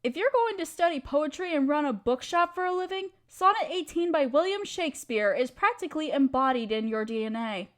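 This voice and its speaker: A woman's voice: sits high, expressive, a little fast, few pauses. A woman playing a playful, affectionate wife who loves poetry, theatrical and teasing, in a romantic audio roleplay.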